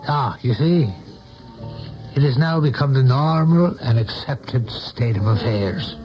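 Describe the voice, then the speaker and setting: An actor playing a measured, concerned male confidant in a 1970s radio drama, speaking with deliberate, careful phrasing. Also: medium pitch, faint music behind, natural voice. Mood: dry, knowing. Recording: dull vintage radio recording.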